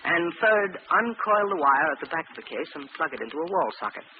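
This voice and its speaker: A man's voice: on the high side, sing-song. A man acting a scheming, persuasive scientist who speaks formally and precisely in a 1940s radio drama. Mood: instructing. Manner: formal, measured, instructing. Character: smooth-talking, scheming male scientist, controlling and persuasive. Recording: muffled, noisy old radio broadcast.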